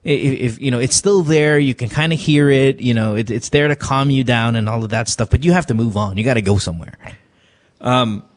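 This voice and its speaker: A man's voice: medium pitch, brisk. A man hosting a call-in advice show, joking and frank, who drops Tagalog words into his talk. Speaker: radio advice show host. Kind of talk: casual call-in advice chat.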